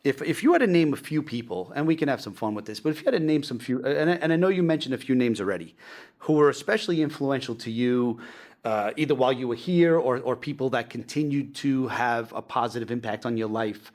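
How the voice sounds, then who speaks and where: A man's voice: mid-range voice, lively intonation, fast, darkish tone. A man in his forties hosting a school podcast interview, talking eagerly like a diehard fan, with frequent restarts. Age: 40s. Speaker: podcast host. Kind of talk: casual interview chat.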